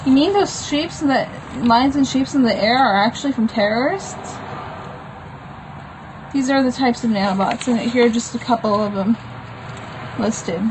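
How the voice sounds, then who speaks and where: A woman's voice: fairly high pitch, moderate pace, pauses often, noisy background. A woman reading her own homemade comic aloud in a plain, unpolished way, with informal explanatory asides. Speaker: comic book author reading own work.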